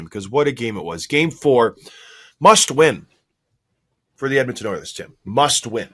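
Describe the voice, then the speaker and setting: A man in his forties hosting a hockey podcast, slightly hoarse, telling self-mocking stories in a casual, animated way. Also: mid-range voice. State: a little hoarse. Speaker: podcast host.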